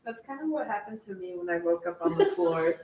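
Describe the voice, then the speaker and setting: A woman's voice: on the low side, lively intonation, a little fast, mellow. A woman chatting casually and informally, some distance from the mic in a room. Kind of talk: casual chat. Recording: echoey room, distant, faint noise.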